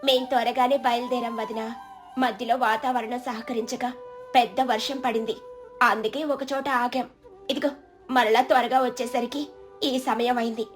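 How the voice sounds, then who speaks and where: A woman voicing a gentle, devoted wife who speaks in a reassuring, coaxing way. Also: a little high, fairly even intonation, moderate pace, faint music behind, close to an everyday voice. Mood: apologetic. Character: gentle, devoted wife comforting her worried husband.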